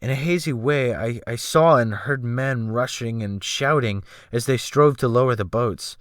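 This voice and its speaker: A man's voice: mid-range voice, dry recording, clean studio sound. A man giving a dramatic, theatrical first-person reading of literary fiction as an audiobook narrator. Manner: theatrical, earnest, deliberate phrasing. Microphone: Blue Snowball USB microphone.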